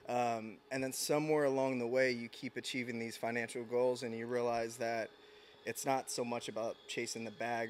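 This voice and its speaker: A man in his thirties from San Diego, a podcast guest talking in a reflective, unhurried way with frequent restarts. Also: mid-range voice, slight background noise. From San Diego, United States. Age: 30s. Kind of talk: casual interview chat.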